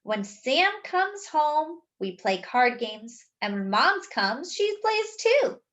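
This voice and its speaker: A woman reads a picture book aloud in a bright, fairly high voice. Her delivery is animated and very varied in pitch, shaped for young children. She narrates as a storyteller, expressively and at an unhurried pace. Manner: animated, pausing to explain words.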